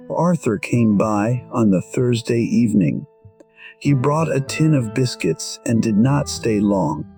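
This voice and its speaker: An actor playing a gentle, wistful musician in his sixties, narrating an audio drama in short, measured, reflective sentences. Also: mid-range voice. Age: 60s. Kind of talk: first-person scripted audio drama narration. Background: faint music.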